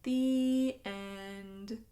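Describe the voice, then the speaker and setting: A woman's voice: medium pitch, fairly even intonation, drawn-out words, mellow. A woman reading a children's picture book aloud as a storyteller, expressively, lingering on key words. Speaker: storyteller, host of a read-aloud channel.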